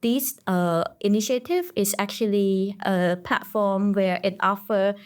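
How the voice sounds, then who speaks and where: A young woman from Cambodia, a master's student guest on a podcast, answering slowly and hesitantly with many pauses. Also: mid-range voice, a little mellow, clean tone. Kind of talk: interview answers.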